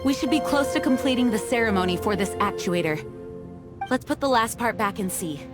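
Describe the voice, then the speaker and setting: A woman as a resolute, lively knight commander. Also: fairly high pitch, brisk, over music, lightly acted. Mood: earnest, confident. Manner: formal, confident, commanding. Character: resolute, earnest young female knight commander. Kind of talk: video game voice acting.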